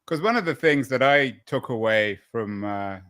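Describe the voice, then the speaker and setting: A man's voice: medium pitch, animated, normal speed, fairly bright tone, smooth, no echo, clean studio sound. A man hosting an interview, asking long, probing questions with hesitations. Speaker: podcast host and interviewer.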